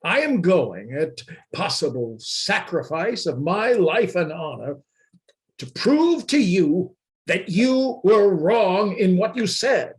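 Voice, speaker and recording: A man plays a grandiose, easily offended anarchist in a radio play. He speaks slowly and formally, haltingly, with a high voice that swings widely. It sounds like a reading on a video call. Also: big pitch swings, full character voice. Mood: aggrieved. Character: proud, offended male anarchist, secretive and grandiose.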